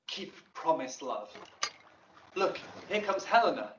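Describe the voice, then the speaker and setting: An ardent young man in love, with a fairly high voice. He delivers Shakespearean verse persuasively, with theatrical feeling. The audio drama is echoey and muffled. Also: big pitch swings, slow, recorded from a distance, background noise. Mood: tender. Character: ardent, scheming young male lover, Shakespearean.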